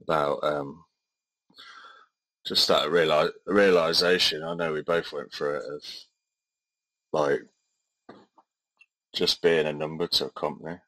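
A man's voice: a bass voice, steady tone, a little slow, long pauses, pushed and bright, no room sound, low-quality recording. A man co-hosting a podcast, chatting casually and hesitantly with many fillers. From Midlands of England. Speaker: podcast co-host. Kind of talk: casual chat.

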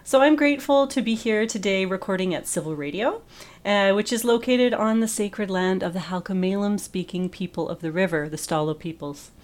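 A woman's voice: mid-range voice, brisk. A woman hosting a podcast from a radio studio, encouraging and friendly with many fillers. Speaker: podcast host. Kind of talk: podcast interview.

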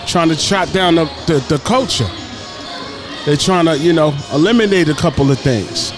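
A man with a fairly high voice chimes in with short, blunt remarks. He is the DJ and co-host of an informal radio show, and music plays steadily behind him. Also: normal speed. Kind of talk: casual radio talk. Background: music playing.

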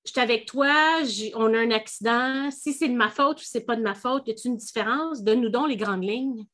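A woman's voice: fairly high pitch, fairly bright tone. A woman with a Quebec French accent, hosting a legal podcast in a chatty, explanatory way. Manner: chatty, explanatory, quick restarts. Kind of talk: casual legal podcast chat.

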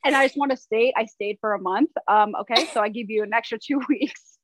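A woman's voice: fairly high pitch, brisk, no echo, low-quality recording. A woman from Ohio speaking casually and conversationally as a guest in a podcast interview. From Ohio, United States.

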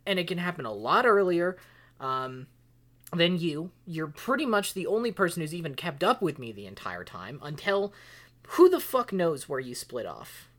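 Low female voice, actress playing an exasperated woman, halting, pleading, volatile, naturalistic audio drama. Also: sing-song, fairly bright tone. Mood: tense, explaining. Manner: halting, stammering, swearing, emphatic.

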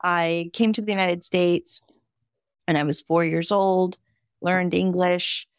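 A woman in her fifties from the Dominican Republic, Spanish her first language, speaks in a fairly low, dry-sounding voice. She tells family stories vividly and often checks in with a quick "right?". The setting is a relaxed podcast conversation. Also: clear, resonant tone, no room sound. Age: 50s. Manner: lively, vivid storyteller with frequent "right?" asides. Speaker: podcast guest, physician. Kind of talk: casual conversation, personal storytelling.

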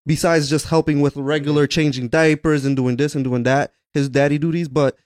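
A young man co-hosting a podcast, calmly and thoughtfully explaining his view. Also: fairly high pitch, fairly quick, no echo, studio quality. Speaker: podcast co-host. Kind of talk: casual podcast chat.

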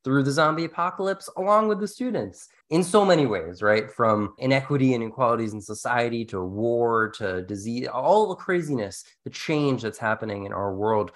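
A man's voice: medium pitch, animated, a little fast, few pauses, fairly bright tone. A man co-hosting an educational podcast, talking through teaching advice in an earnest, explanatory, conversational way. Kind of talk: conversational teaching-tips discussion.

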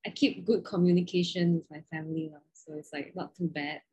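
A woman's voice: fairly low pitch, fairly even intonation, brisk, darkish tone, slightly rough. A young woman from Malaysia chatting casually as a podcast co-host, rambling with lots of "like". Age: young adult. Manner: rambling, heavy use of "like". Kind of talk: casual chat.

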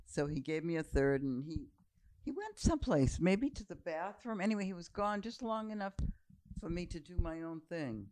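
A woman in her seventies, a podcast guest telling stories in a calm, unhurried, reflective way. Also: lowish voice, no room sound, poor audio. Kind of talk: relaxed storytelling conversation.

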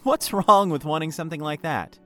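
A man's voice: a little high, a little fast, sharp tone, soft background music. An actor playing an earnest, lovestruck young man in an audio drama, a little hesitant and awkward. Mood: earnest, defensive. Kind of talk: naturalistic audio drama acting.

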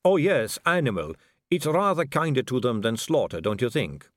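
A man's voice: mid-range voice, big pitch swings, fairly bright tone, no room sound, studio quality. Man voicing a silky aristocratic lady vampire. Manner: slow, silky, languid delivery. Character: suave, poised aristocratic female vampire.

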